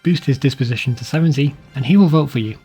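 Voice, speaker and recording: A man's voice: medium pitch, fast, mellow, slightly rough, faint music behind. A man reading a scripted video game walkthrough in a plain, informal, instructional way. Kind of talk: scripted guide narration.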